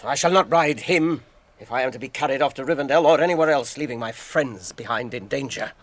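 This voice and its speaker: An actor playing a wounded, weary but stubbornly loyal hobbit in a radio drama, well-spoken and earnest. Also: male voice, mid-range voice, a little fast, close to an everyday voice. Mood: defiant.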